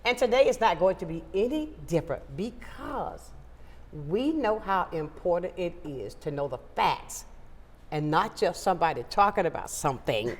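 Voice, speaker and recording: A woman's voice: on the low side, long reverb tail. A woman hosting a local morning talk show, upbeat and emphatic as she welcomes viewers and sets up a health segment. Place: local TV studio.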